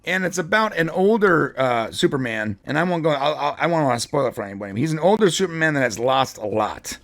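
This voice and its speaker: A man's voice: on the high side, animated, fast, a bit bright, no echo. Man talking comics in casual commentary. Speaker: show host and comic book fan commentator. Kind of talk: casual pop culture commentary.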